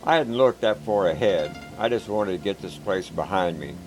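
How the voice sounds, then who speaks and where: A man reading a first-person war story in a calm, measured, plain-spoken way. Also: low voice, poor audio. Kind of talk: scripted first-person narration. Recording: background music.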